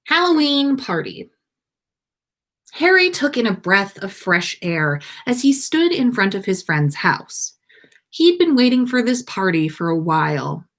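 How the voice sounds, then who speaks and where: Animated woman reading stories, unhurried. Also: medium pitch. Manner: enthusiastic. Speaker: podcast host and story reader. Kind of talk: animated live storytelling.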